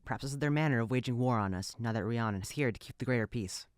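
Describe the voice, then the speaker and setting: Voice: mid-range voice, very fast, smooth, no echo, clean studio sound, lightly acted. An actor in an audio drama playing an earnest, loyal royal retainer with a formal, declamatory manner. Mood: gallant, resolute.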